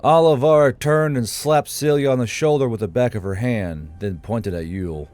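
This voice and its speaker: A man's voice: mid-range voice, moderate pace, darkish tone, no echo. A man reading scripted narration for a fantasy audiobook as its narrator.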